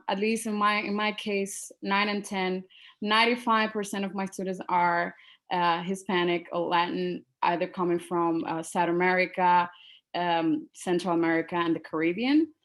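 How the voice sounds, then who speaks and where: A woman's voice: medium pitch, fairly even intonation, moderate pace. A woman whose first language is Spanish, speaking English with a Spanish accent as a teacher at a virtual school fair, earnest and searching for words over a video call.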